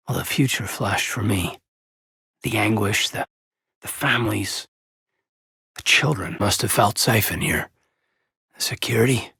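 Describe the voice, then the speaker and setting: A man playing an earnest, loyal military officer in a space-war video game, with a husky, raspy voice and a sincere, thoughtful manner. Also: on the low side, measured pace, long pauses, sharp tone, dry recording, clean studio sound, heavily acted. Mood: somber, earnest. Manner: sincere, thoughtful, often sighing. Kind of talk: video game character dialogue.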